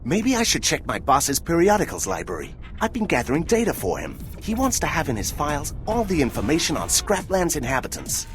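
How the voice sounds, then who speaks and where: Wisecracking robot reporter, highish voice, wildly varied pitch, cheeky, casual, game cutscene. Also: male voice, huge pitch swings, a little fast, few pauses, soft background music. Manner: breezy, wisecracking. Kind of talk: video game character acting.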